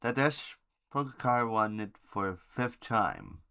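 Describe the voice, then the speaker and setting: A man hosting an amateur news podcast, reading headlines haltingly with informal asides and stumbles over words and names. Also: on the low side, animated, pushed and bright, smooth.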